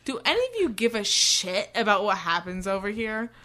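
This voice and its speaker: A woman with a bright, forceful voice at a middle pitch. She co-hosts a book podcast in loose, casual banter, swearing freely and sounding exasperated as she picks things apart. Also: lively intonation, normal speed. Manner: profane, exasperated. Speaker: podcast co-host.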